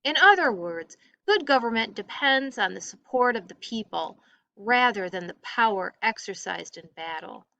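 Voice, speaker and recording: Bright-voiced woman teacher reading aloud. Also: fairly high pitch, lively intonation, normal speed, creaky, lo-fi sound. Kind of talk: textbook read-aloud for a class.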